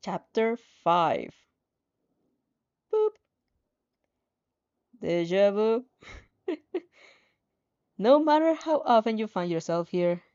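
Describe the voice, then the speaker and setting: A woman reading a story aloud in an expressive, dramatic way, with playful casual asides and the odd stumble over a line. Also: medium pitch. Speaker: fan fiction reader reacting as she reads. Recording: dull, slightly muffled lo-fi audio.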